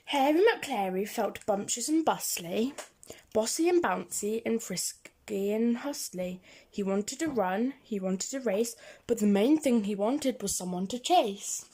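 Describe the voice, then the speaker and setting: High-voiced woman reading a rhyming storybook. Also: expressive, unhurried, a little mellow, breathy edge. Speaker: reader of children's picture books. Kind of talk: storybook read-aloud.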